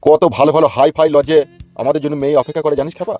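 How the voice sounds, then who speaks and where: Dull, lo-fi cartoon audio with music carries a man acting as an arrogant village politician. He talks very quickly and bluntly, with a short temper and a West Bengal accent. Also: fairly high pitch, level tone, close to an everyday voice. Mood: boastful. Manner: self-important, scolding, blunt. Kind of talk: comic cartoon dialogue.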